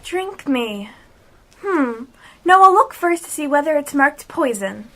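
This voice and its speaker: A woman's voice: high-pitched, sing-song, a little slow, sharp tone, exaggerated voice. A young female amateur playing a curious, impulsive little girl in a radio play. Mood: wondering.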